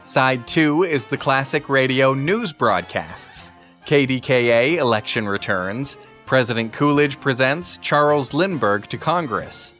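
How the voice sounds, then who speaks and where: A man's voice: mid-range voice, expressive, unhurried, fairly bright tone, faint music behind. A man presenting a vintage record, reading out its track listing in an introduction.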